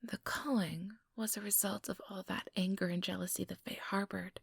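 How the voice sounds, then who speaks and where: An ambitious female vampire, acted by a woman, whispers intimately in an ASMR role-play. Her voice is extremely breathy and creaky, recorded dry and close. She speaks in a measured, condescending way, manipulative and quietly persuasive. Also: medium pitch, fairly even intonation, no room sound. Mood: smug approval. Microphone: close ASMR microphone.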